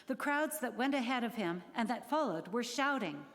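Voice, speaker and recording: A woman with a fairly high voice narrates scripture in a reverberant church. Her delivery is that of a scripted dramatic reading. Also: lively intonation, normal speed, a bit bright. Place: large church during a service. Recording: echoey church hall.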